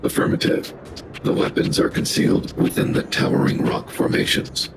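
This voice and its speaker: A man voicing a big, formal combat robot in a measured, emotionless, synthetic manner. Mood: impassive, formal. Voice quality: very deep, gravelly. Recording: loud music behind.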